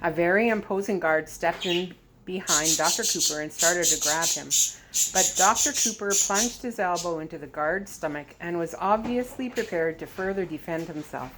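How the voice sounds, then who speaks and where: A woman reading a children's adventure novel aloud, expressive with the dialogue. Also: on the low side, normal speed. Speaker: story reader. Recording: clear background noise.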